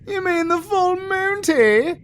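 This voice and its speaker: An actor playing a commanding, amorous alpha werewolf with a British accent and a theatrical, wry manner in an audio roleplay. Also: male voice, very high voice, expressive, slow, exaggerated voice. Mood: playful, boastful.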